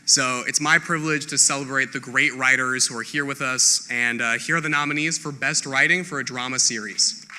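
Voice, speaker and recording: A man's voice: medium pitch, steady tone, a little fast. A man presenting an award live on a theatre stage in a casual style, with audience noise around him. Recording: loud crowd and noise in theatre. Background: loud audience noise.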